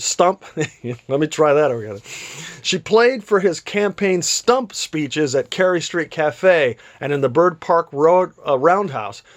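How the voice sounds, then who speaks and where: A man reading a literary story aloud as an author would, with a theatrical delivery. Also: a little high. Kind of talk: literary read-aloud, scripted narration.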